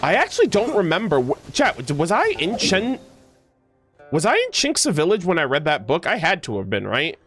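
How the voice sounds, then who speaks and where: Male game streamer, slightly high voice, loose slangy chatter full of self-corrections, music underneath. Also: moderate pace. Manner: rambling, self-correcting, slangy, animated asides. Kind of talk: casual livestream chat with viewers.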